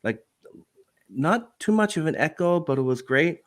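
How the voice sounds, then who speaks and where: A man's voice: fairly high pitch, big pitch swings, a little slow. A man hosting a podcast interview, rambling and hesitant, full of fillers and restarts. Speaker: podcast host. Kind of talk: casual interview chat.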